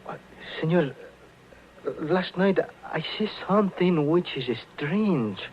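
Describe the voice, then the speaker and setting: A man playing a humble, chatty, sheepish townsman who speaks halting English with a Mexican accent in a radio western. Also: on the high side, animated. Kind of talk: old-time western radio drama acting. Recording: dull, hissy old radio recording.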